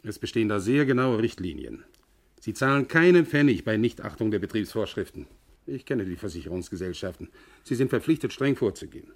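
Stern official, gravelly, a bit low, formal and insistent, old 60s radio play. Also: male voice, lively intonation, fairly quick. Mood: emphatic, unyielding. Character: stern, emphatic male company official citing regulations. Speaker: radio drama actor. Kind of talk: 1960s German radio crime drama acting.

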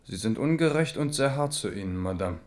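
A man's voice: mid-range voice, animated, a little fast, lightly acted. An actor playing a courteous, earnest young king in a radio drama, speaking politely and reassuringly. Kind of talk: 1970s radio drama acting.

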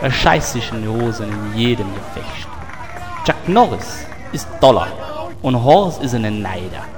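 A man's voice: mid-range voice, expressive, a little slow, natural voice. A man voicing a boisterous, mocking dwarf carnival crier who declaims in rhymes for a comic audio drama. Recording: echoing hall with crowd noise.

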